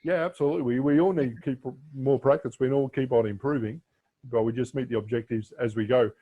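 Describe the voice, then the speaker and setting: A man's voice: mid-range voice, fairly even intonation, quick pace, mellow, muffled recording. A man hosting and judging a speech club on a video call, encouraging and repeating himself as he talks. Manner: encouraging, repeats words, trails into restarts. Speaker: speech club host and judge. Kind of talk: casual meeting chat.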